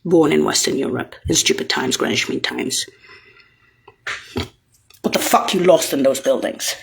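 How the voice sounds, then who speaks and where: A woman talking alone in a rambling, profane rant that jumps between topics and breaks into sudden shouts. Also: extremely low pitch, lively intonation, normal speed, gravelly. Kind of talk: rambling solo monologue.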